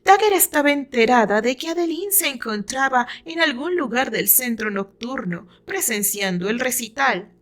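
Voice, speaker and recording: A woman's voice: a little high, lively intonation, moderate pace, hardly any pauses, fairly bright tone. A woman with a Mexican accent reading her own fiction aloud as dramatic scripted narration.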